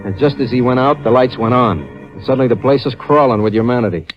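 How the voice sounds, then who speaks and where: An actor playing a wisecracking, unflappable hard-boiled private eye, narrating and talking in dry slang in an old-time radio drama. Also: male voice, mid-range voice, quick pace, close to an everyday voice. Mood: wry. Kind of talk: old-time radio noir acting and first-person narration. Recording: muffled vintage radio recording, reverberant, music behind.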